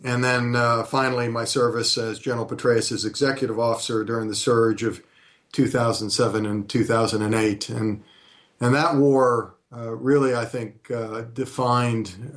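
A man in his fifties from California, a retired army colonel being interviewed, answering in a measured way with frequent hesitant pauses. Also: medium pitch, little pitch movement.

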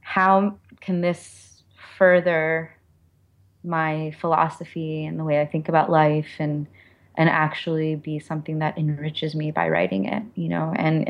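Low-pitched woman, candid and conversational. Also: highs cut off. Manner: informal and reflective, frequent "like" and "you know". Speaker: author being interviewed.

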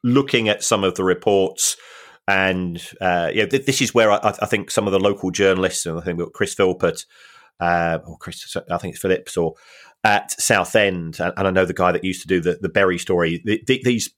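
A man co-hosting a football finance podcast, explaining things in a halting way with many restarts and dry humour. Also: low voice, a bit bright. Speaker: football finance expert co-host. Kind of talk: casual podcast discussion.

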